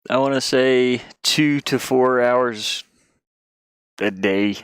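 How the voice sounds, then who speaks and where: A man's voice: medium pitch, takes it slowly, a bit bright, clean tone, dry recording, clean studio sound. A man streaming casually, rambling and thinking aloud as he goes. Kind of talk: casual live stream chat. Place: inside a van he lives in.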